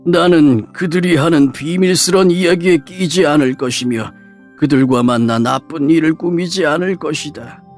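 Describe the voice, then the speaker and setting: A man playing an aged, dying patriarch who speaks solemnly and deliberately to his sons in a dramatized scripture audiobook. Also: on the high side, lightly acted. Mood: stern, solemn.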